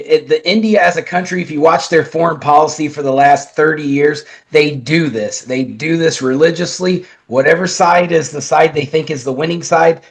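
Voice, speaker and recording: A man sharing blunt political opinions in a casual chat, emphatic and often restarting his sentences. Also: fairly high pitch. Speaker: guest commentator.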